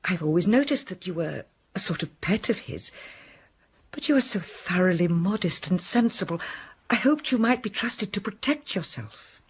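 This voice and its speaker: Cautious old housekeeper, husky voice with lively rise and fall, period radio drama. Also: female voice, medium pitch. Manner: measured, cautionary. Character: elderly housekeeper woman, cautious, kindly, proper.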